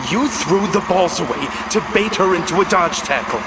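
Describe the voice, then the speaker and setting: A commanding young cartoon team leader, speaks urgently in a high voice. His pitch swings widely as he gives indignant orders, with clear noise behind him. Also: lively intonation, normal speed. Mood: accusing. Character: tense young rich male team captain, commanding, exasperated.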